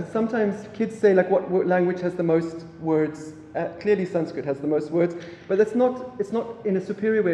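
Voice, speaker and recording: A man from South Africa, an Afrikaans speaker, giving a relaxed public lecture with halting phrasing and many fillers. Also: high-pitched, a little hiss or hum. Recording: echoey hall, mic from afar.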